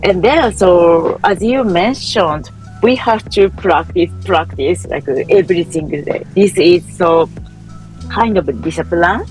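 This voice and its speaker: A woman's voice: on the low side, a little slow, darkish tone, faint music behind, poor audio. A woman, hosting a podcast interview and responding attentively with frequent backchannels. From Japan. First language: Japanese. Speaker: podcast host.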